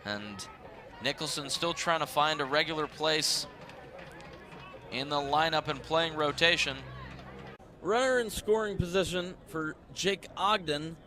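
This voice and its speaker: A man's voice: fairly high pitch, a little slow, pauses often, bright, forceful tone. A man calling live sports play-by-play in a live commentary style. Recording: noisy live stadium broadcast. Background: stadium ambience.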